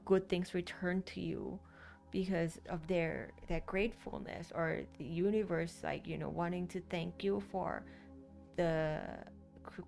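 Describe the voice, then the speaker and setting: A woman's voice: on the low side, creaky, a little mellow. A woman with a Thai accent whose first language is Thai, giving a casual card reading and rambling with many fillers and restarts. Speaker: tarot card reader.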